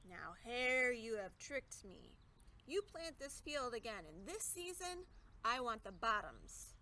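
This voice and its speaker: A woman voicing a lazy, drowsy male bear from a storybook, with drawn-out, grumbling exclamations. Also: a little high, very animated, bright, forceful tone, slight background noise, heavily acted. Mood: indignant. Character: lazy, sleepy, wealthy male bear, grumbling when cheated. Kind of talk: storybook read-aloud character voice.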